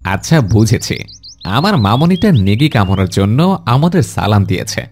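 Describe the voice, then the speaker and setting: A man voicing an indulgent, slightly impatient father in a cartoon, with a coaxing, expressive manner. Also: lowish voice, normal speed, faint music behind. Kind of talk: animated cartoon dialogue.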